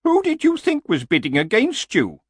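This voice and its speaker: A nagging, sensible horse, voiced by a man reading a story, pitched well above an everyday male voice and swinging widely in tune. It pleads and reasons plaintively, like a worried travelling companion, in a dry, close recording. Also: wildly swooping pitch, normal speed, slightly rough, no room sound, heavily acted. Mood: nagging, coaxing.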